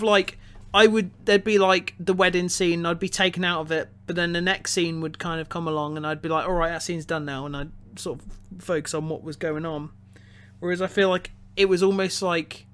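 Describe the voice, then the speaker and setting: High-pitched, halting, analytical man. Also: a bit bright, clear, resonant tone. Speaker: podcast co-host reviewing films. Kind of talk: casual analytical review chat.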